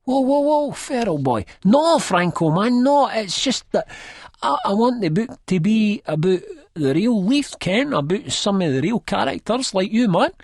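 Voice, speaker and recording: An actor playing a nervous, rambling, good-hearted Edinburgh drug-user with a husky Scottish voice, narrating an audiobook in dialect. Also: male voice, high-pitched, big pitch swings, unhurried, no echo, full character voice. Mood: nervous, pleading. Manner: rambling, colloquial, self-deprecating.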